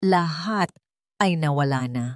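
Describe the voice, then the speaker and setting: A woman with a Filipino accent reading a scripted dramatic story in a measured, storytelling manner. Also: sits low, expressive, no echo, clean studio sound. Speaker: storyteller, narrator.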